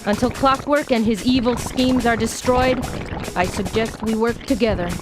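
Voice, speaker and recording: Loud music backs a woman playing a fox police officer from a video game. The voice is fairly high, with a proud, commanding, feisty delivery that stays brisk and firm. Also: close to an everyday voice, rough recording. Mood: grudging. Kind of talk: video game cutscene voice acting.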